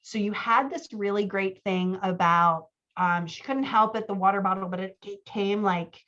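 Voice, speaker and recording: A woman talking casually as a writing coach, giving feedback and acting out bits of dialogue in an animated, conversational way. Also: mid-range voice, normal speed. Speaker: book coach giving feedback on a writer's scenes. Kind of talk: casual coaching talk mixed with reading out scene dialogue. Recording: slightly dull, lo-fi audio.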